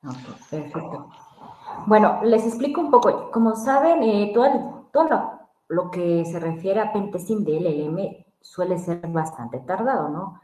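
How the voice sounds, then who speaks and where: A woman's voice: mid-range voice, expressive, measured pace, warm tone. A woman explaining a security test step by step, often tagging her sentences with a questioning "no". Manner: explanatory, frequent tag "¿no?". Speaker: lead pentester, guest speaker. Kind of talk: technical explanation. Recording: echoey room.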